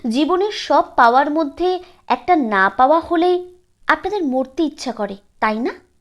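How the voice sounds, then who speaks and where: Woman acting an indignant, high-pitched teenage girl. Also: animated, measured pace. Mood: angry, accusing. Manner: sharp, accusing, indignant. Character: angry, scolding nineteen-year-old young woman.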